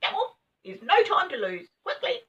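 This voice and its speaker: Small helpful storybook rabbit, played by a woman: very high, urgent, anxious, swooping lines. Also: huge pitch swings, normal speed, fairly bright tone, full character voice. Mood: eager, urgent. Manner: urgent, exclaiming. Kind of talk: children's storybook character voice. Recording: dull, distant home recording.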